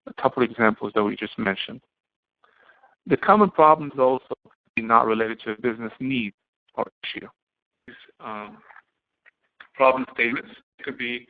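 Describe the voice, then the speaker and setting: A man giving an explanatory lecture to students as an instructor, speaking haltingly and often posing questions that he then answers himself. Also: mid-range voice. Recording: muffled, band-limited, phone-like audio.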